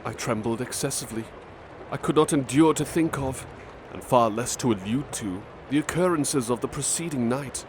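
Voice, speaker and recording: A man giving a measured, gentle ASMR story reading, playing a butler reading aloud, over loud street ambience. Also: mid-range voice, slight background noise, low-quality recording.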